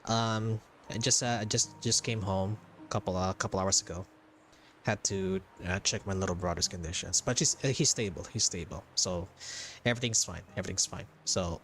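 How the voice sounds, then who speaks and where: A man's voice: low voice, a bit bright. A man chatting casually as a game livestreamer, informal and often repeating short phrases. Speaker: livestreamer, gaming vtuber. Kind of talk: casual stream chat during game playthrough. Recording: background noise on stream mic.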